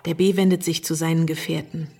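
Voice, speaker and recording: An actress giving scripted narration in a radio play. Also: female voice, sits low, level tone, fast, darkish tone, slight background noise. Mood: measured narration.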